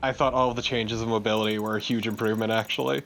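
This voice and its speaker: A man's voice: on the low side, fairly even intonation, rapid-fire, fairly bright tone, slight background noise. A man chatting casually and jokingly as co-commentator on a video game let's play.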